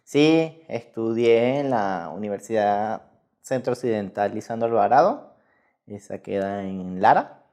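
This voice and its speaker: A man's voice: fairly low pitch, animated, a little slow, a little mellow. A Venezuelan man in his thirties giving casual, rambling interview answers with frequent self-corrections. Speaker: guest researcher in mathematics.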